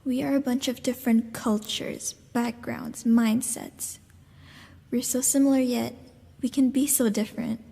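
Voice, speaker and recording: A woman's voice: fairly high pitch, fairly even intonation, unhurried, creaky, dark tone, husky. A woman giving a personal statement in a reverberant recording. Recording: long reverberant echo.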